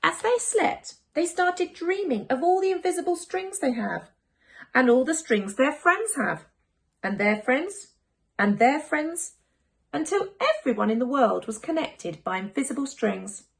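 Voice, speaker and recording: A woman narrates a children's picture book in a very lively, melodic way. Her voice is fairly high, with some creak at the edges. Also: a little slow. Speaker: primary school teacher reading a story.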